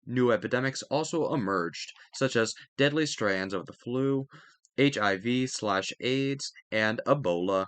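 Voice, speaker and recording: A man's voice: mid-range voice, a bit bright, no room sound. A man reading educational text aloud in a careful way, now and then stumbling and correcting himself. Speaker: narrator reading a history textbook aloud. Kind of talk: read-aloud educational narration.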